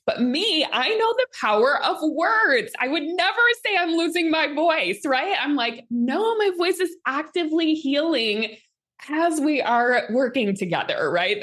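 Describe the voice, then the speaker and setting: Upbeat woman coach, higher voice. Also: a bit bright. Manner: upbeat, reads out audience comments. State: slightly strained voice, healing. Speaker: host and manifestation coach. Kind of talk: live coaching session.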